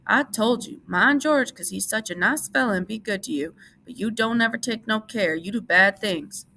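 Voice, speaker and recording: A woman's voice: medium pitch, normal speed, vocal fry, pushed and bright, slight background noise, voice pushed up. Woman playing a scolding old aunt. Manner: scolding, nagging. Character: scolding fat old aunt, stern and disapproving.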